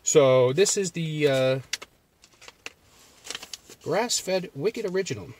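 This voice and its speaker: A man's voice: on the high side, expressive, slow pace, darkish tone, slight background noise. Rambling Boston man vlogging from his car. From Massachusetts. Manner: rambling, loose, with many fillers and restarts. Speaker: jerky reviewer. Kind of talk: casual vlog chat. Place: parked car.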